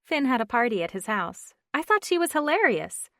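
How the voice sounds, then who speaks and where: A woman voicing an excitable young woman friend chatting on the phone, gushing and teasing, in a dry, close reading. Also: a little high, normal speed, dry recording, voice pushed up. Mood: excited.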